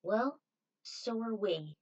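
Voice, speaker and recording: A woman voicing a brisk, reassuring female rescuer with emphatic delivery in a story reading. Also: mid-range voice, animated, a little mellow, husky, dull audio. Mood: eager, reassuring.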